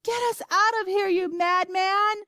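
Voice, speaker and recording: A woman's voice: high voice, very animated, normal speed, no echo, clean studio sound, pitched above the performer's own voice. A woman voicing a bossy, alarmed old grandmother in a storybook, screaming and scolding her demands. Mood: furious panic. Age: older.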